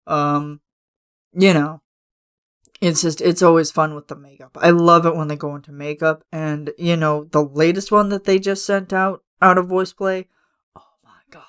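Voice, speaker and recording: A man hosting a podcast from his room at home, hoarse and raspy as he loses his voice, congested and coughing, rambling with lots of fillers and restarts. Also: on the high side, a bit bright. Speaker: podcast host. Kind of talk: casual solo podcast chat.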